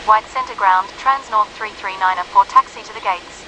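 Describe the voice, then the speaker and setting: A woman's voice: mid-range voice, normal speed, lightly acted. Woman acting as aviation radio voice amid hissy noise. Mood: procedural. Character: woman pilot or controller, brisk and procedural. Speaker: pilot and air traffic radio voice in a simulation game. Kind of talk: clipped aviation radio phraseology.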